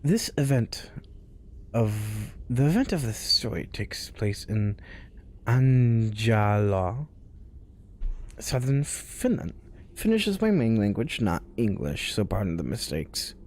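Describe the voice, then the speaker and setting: A man hosting a spooky story show, reading tales aloud in a hushed voice that often drops to a whisper. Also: fairly low pitch, very animated, slow. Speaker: storyteller host.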